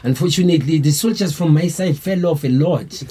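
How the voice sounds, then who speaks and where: A man's voice: a little high, a little fast, warm tone, slightly rough. A South African man, a band member, telling a long story in a laid-back, rambling way with lots of slang fillers. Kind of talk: casual storytelling interview.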